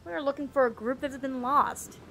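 Woman playing a resolute, higher-voiced ship's leader. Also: fairly even intonation, fairly quick, a bit bright, a little hiss or hum. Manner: decisive. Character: resolute female ship's leader planning a search. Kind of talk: audio drama acting.

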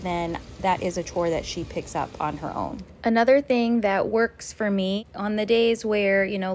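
Calm woman sharing home tips. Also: medium pitch. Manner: calm, friendly, practical tips. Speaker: home organizing vlogger. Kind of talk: scripted voice-over narration.

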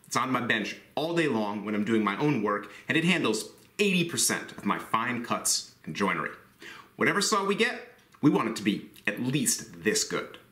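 Lively, bright-voiced woodworking host. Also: male voice, medium pitch, moderate pace. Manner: enthusiastic, blunt, jokey asides. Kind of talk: scripted tool review to camera. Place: woodworking shop. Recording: roomy workshop echo.

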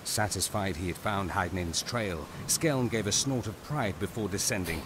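A man narrating a scripted audio drama in a grave, deliberate, dramatic way. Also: deep voice, noisy background.

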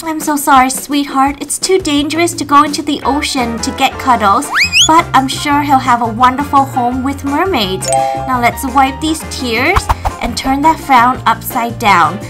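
A woman voicing a gentle, motherly young mom in a doll play story, soothing and a bit fretful. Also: high-pitched, normal speed, close to an everyday voice. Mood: consoling. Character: kind pregnant young mom, gentle, budget-minded. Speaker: doll story narrator-voicer. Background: children's music.

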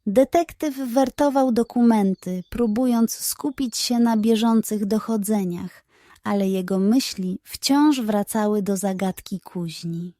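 A woman narrating a scripted bedtime story for children in an expressive, suspenseful way. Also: medium pitch, measured pace, no room sound, studio quality.

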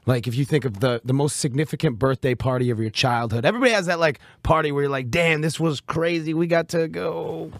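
Raspy, higher-pitched male radio host. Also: brisk, hardly any pauses, no room sound, clean studio sound. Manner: joking, laughs often. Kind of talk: casual chat interview.

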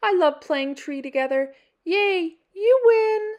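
Woman acting as a small male storybook porcupine, jealous, defensive, anxious, dramatic, lively swooping pitch. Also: slow pace, highs cut off, heavily acted. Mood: playful. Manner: dramatic, emphatic. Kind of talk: children's picture-book character voice.

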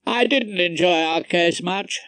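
A man's voice: high-pitched, measured pace, bright, forceful tone, smooth, dry recording, pitched above the performer's own voice. A man voicing a fussy, grudging male barrister in an audiobook, prim and a little petulant.

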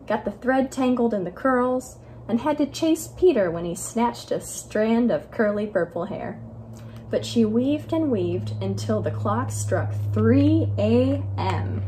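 A young American woman reading a children's story aloud at home as a library storyteller, expressive and carefully enunciated. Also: a little high, slight background noise, poor audio. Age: young adult. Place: living room at home, indoors.

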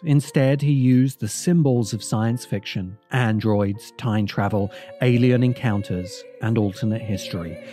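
A man reads a scripted video essay in a mellow, mid-range voice. He is measured and leans on key words with deliberate pauses. Faint music plays behind him. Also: mid-range voice. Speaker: host and narrator.

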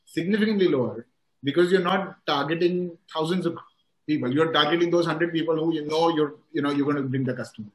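Male panelist, slightly high voice, explanatory, tags on "right?", roomy video-call sound. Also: fast talker. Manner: explanatory, frequent "right?" and "you know".

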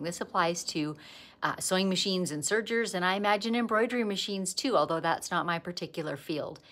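Chatty Canadian woman on a livestream. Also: mid-range voice. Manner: chatty, warm greetings to viewers, lively bursts of excitement. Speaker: quilting teacher and livestream host. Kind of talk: casual livestream chat. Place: indoor sewing studio.

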